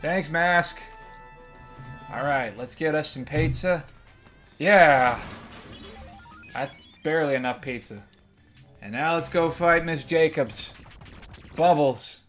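A man's voice: on the high side, slow pace, pauses often, a bit bright. A young man casually commenting on a video game as he plays, joking and swearing freely. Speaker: gameplay commentator. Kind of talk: let's play commentary. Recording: voice over game music.

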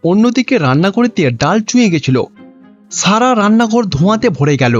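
Casting: male cartoon story narrator, high pitch, lively melodic read, lo-fi track. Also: brisk, faint music behind.

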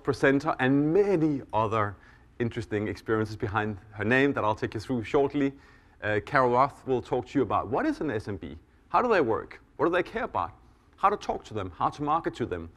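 Danish guy hosting a conference on stage, middle-aged, laid back and a bit self-mocking, lots of uhs and ums, mid-pitched voice. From Denmark. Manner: relaxed, self-deprecating, with frequent "uh" and "um". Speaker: conference host and keynote speaker. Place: conference hall.

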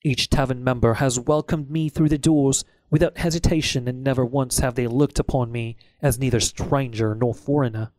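Male audiobook narrator, mid-pitched, close dry sound, scripted first-person fiction. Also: fairly even intonation, moderate pace, hardly any pauses, a little mellow, no room sound.

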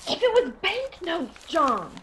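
A slow, fussing older housewife. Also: female voice, very high-pitched, wildly swooping pitch, bright, forceful tone, a little hiss or hum, exaggerated voice, low-quality recording. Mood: excited. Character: anxious, superstitious older housewife and mother, fussing. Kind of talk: radio drama acting.

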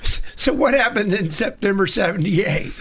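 A man's voice: sits high, lively intonation, normal speed, a bit bright, breathy edge. A man hosting a podcast, chatting in an upbeat, enthusiastic way with his guest. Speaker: host. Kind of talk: casual podcast interview.

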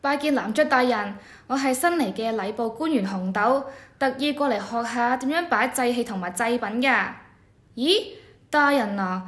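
An eager junior rites official acted by a woman talks in a high voice with a Hong Kong Cantonese accent. The delivery is earnest and deferential scripted radio drama, with a noticeably roomy echo. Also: normal speed, fairly bright tone, close to an everyday voice. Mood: eager, respectful. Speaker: voice actor.

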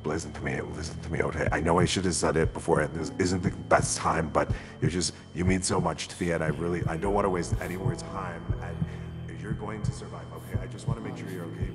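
A deep-voiced young man in love as acted by a man, anxious and protective. He pleads and reassures in halting repeats, in a game roleplay drama with music behind him. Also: lightly acted. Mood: urgent, pleading.

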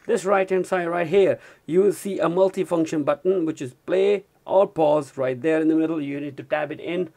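Male product reviewer, slightly high pitch, informal hands-on demo delivery. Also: normal speed, creaky, hardly any pauses, mellow. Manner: informal, repeatedly addresses viewers as guys. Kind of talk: hands-on gadget review demonstration. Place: indoors at a desk.

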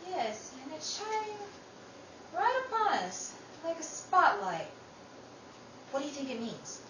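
Woman playing an excitable sister in a roomy space. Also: sits high, a little slow, exaggerated voice. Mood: excited wonder. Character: caring, excitable sister Emily. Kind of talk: old-time radio drama acting. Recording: roomy, distant, faintly noisy recording.

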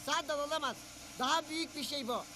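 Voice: high voice, quick pace, close to an everyday voice. An actor voicing a keen, knowing young character in a cartoon. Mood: puzzled. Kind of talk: cartoon voice acting. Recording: clear background noise.

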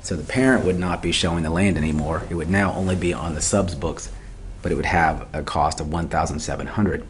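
A man's voice: low voice, steady tone, a little fast. A man giving an explanatory tutorial lecture as an instructor, methodical and step by step, often posing questions and then answering them. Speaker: accounting instructor.

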